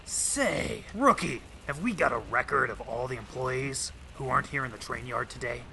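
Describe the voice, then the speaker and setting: Radio noir detective, male actor: gruff, clipped, bright-edged highish voice over some background noise. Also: expressive, normal speed, heavily acted. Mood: suspicious. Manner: hard-boiled, clipped slang. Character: gruff, wisecracking 1920s big-city police detective. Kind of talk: old-time radio drama acting.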